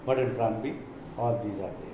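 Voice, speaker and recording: Male trainer, Indian accent, didactic explanatory delivery, captured in a reverberant room with clear noise. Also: lowish voice, normal speed. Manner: didactic, reading points then explaining them. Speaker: insurance trainer. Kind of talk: explanatory product presentation.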